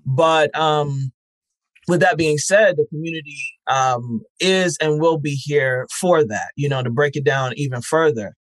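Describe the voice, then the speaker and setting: A man's voice: on the high side, a little slow, no room sound, rough recording. A man, founder of a podcast festival, answering interview questions in a reflective way with many fillers and restarts.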